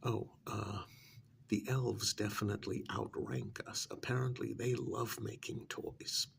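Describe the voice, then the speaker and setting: Man playing weary sheepish guy, ASMR role-play, soft near-whisper, thick vocal fry, hesitant rambling, faint background noise. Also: lowish voice, unhurried, full character voice. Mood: resigned. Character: weary, sheepish man breaking bad news, resigned and defensive.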